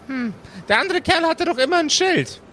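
A man's voice: very high-pitched, expressive, normal speed, sharp tone, slight background noise, voice pushed up, full character voice. A man voicing a helpful, tinkering companion in a tabletop game, pondering and hesitant as he talks.

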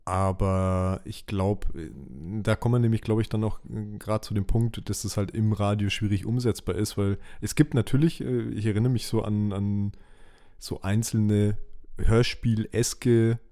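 A man with a lowish, dark and relaxed voice co-hosts a podcast. He speaks in a casual chat style, using colloquial, informal phrasing. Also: measured pace. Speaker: podcast co-host.

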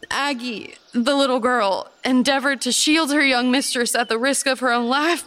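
A woman playing an earnest, devout 1830s Virginia gentlewoman, giving formal, measured testimony in a historical drama. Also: high voice. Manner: formal, measured storytelling, firm.

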